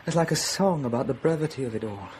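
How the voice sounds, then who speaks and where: A man acts out a worldly young male painter in a radio drama, wry and pragmatic. He talks in a sardonic, persuasive way at a medium pitch. Faint ambience sits behind the voice. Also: expressive, quick pace, a little mellow, a little hiss or hum, close to an everyday voice, rough recording. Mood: wistful, defeated.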